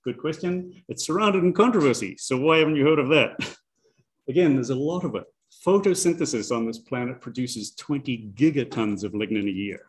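A man's voice: mid-range voice, a little fast. A man in his sixties from New Zealand, with a New Zealand accent, giving a public science lecture with dry, self-deprecating humor. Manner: self-deprecating, chuckles between dry asides. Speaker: guest lecturer, professor.

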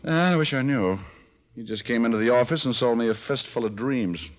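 An American actor playing a flippant, wisecracking private detective with dry delivery in an old radio drama. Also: male voice, medium pitch, lively intonation, brisk, close to an everyday voice. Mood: dry, wry. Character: wisecracking, down-on-his-luck private detective man. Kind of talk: 1940s-50s radio detective acting.

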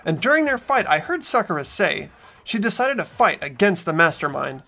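A man's voice: high-pitched, big pitch swings, moderate pace, vocal fry, pushed and bright, soft background music, exaggerated voice. A man voicing an earnest, trusting teenage boy, the protagonist of a visual novel game, speaking insistently.

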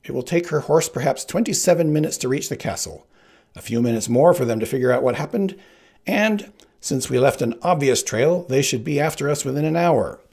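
A boastful male kidnapper threatens in a high, shrill voice with a gravelly edge, as a male reader puts him on. He sounds smug and menacing, and his pitch swings widely from line to line. It is a storybook villain told aloud. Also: animated, fairly quick, a little mellow. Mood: gloating. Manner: shrieking, gloating threats.

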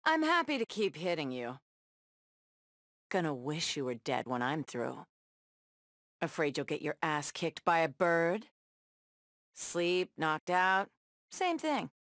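An actress playing a tough, sardonic superheroine brawler in a video game, tossing out sassy, taunting one-liners. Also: female voice, low voice, very animated, slow pace, long pauses, fairly bright tone, no echo, studio quality, full character voice. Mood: cocky, taunting. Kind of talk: video game fight banter.

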